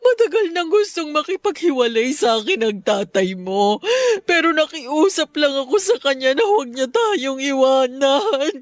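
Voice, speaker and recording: A woman's voice: high voice, big pitch swings, normal speed, no room sound, clean studio sound. An actress with a Filipino accent playing a heartbroken, abandoned middle-aged wife and mother, tearful and desperate in radio drama style. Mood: tearful, resigned.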